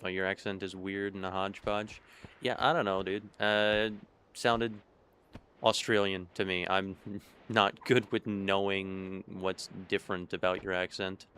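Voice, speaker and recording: A man's voice: low-pitched, measured pace, a little hiss or hum. A young man with a Michigan accent, chatting casually with his stream chat while playing a game. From Michigan, USA. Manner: relaxed, musing, talks to chat between game moves.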